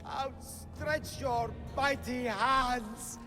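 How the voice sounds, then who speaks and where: An actor playing a fanatical cultist in a video game, proclaiming ascension to the gods in a grandiose, exultant incantation. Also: male voice, very high voice, extremely slow, full character voice. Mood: exultant, fervent. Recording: long reverb with music behind.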